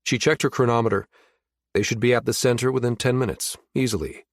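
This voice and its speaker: A man reading a science fiction audiobook in a steady, measured narration. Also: mid-range voice, dry recording, clean studio sound. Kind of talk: scripted narration.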